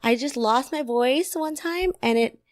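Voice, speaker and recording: A young woman with a raspy, worn voice chatting casually and animatedly as a podcast co-host. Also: high-pitched, measured pace, no room sound. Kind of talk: casual chat.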